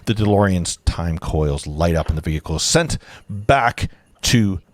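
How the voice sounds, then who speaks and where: A low-pitched man reads screenplay directions aloud in a casual read-through. The sound is dry and close, and his delivery is informal, with asides to the others at the table. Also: lively intonation, unhurried, no room sound. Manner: informal, breaks off to comment and joke. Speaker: narrator reading stage directions at a table read.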